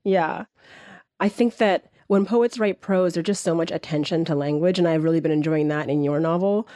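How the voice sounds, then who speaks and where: A woman's voice: fairly low pitch, normal speed, warm tone. A woman hosting a poetry podcast interview, giving frequent affirming murmurs and laughing easily. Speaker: podcast host. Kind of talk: interview conversation.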